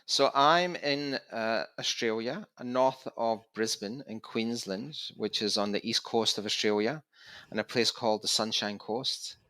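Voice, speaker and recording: Bright-voiced Scottish man in his fifties. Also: mid-range voice, measured pace, no echo. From Scotland. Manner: chatty, relaxed, easygoing. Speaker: podcast guest. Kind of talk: casual interview storytelling.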